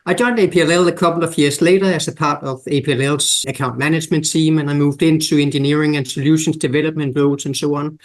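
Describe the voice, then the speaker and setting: Danish man, podcast guest, slightly high voice, measured and explanatory. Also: a little mellow. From Denmark. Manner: measured, explanatory, lists examples. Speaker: guest expert. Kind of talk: podcast interview.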